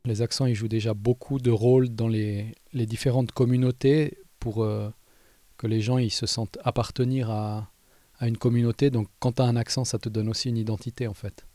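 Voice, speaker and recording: A man's voice: medium pitch, flat delivery, moderate pace, dark tone, dry recording. A man from Valais with a light Valais Swiss French accent, talking through his answers in a street interview, hesitant and full of fillers. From Valais, Switzerland. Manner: thoughtful, hesitant, many fillers.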